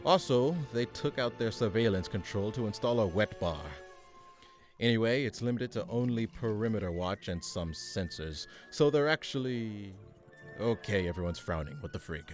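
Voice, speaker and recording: Male actor as supervillain boss, lowish pitch, pompous, businesslike, dryly fed up, comedic radio play, music behind. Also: lively intonation, normal speed, lightly acted. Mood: pompous scheming. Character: weary, pompous male supervillain mastermind chairing meetings.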